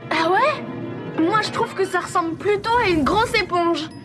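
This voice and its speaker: A very shrill-pitched young cartoon schoolboy, easily alarmed and quick to grumble. He wisecracks in exclamations. Loud music plays behind him. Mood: wry. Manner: wry, complaining, exclamatory. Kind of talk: dubbed children's cartoon acting.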